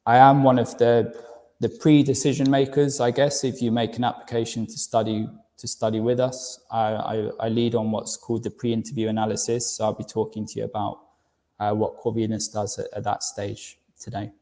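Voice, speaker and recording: A London-accented man in his thirties gives a friendly university webinar, stumbling over and repeating words now and then. His voice sits at a middle pitch with a dark, mellow tone. A long reverb trails after his words, as if he is speaking in a large room. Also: flat delivery, normal speed. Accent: London English. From United Kingdom. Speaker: university recruitment director presenting a webinar. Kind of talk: webinar presentation.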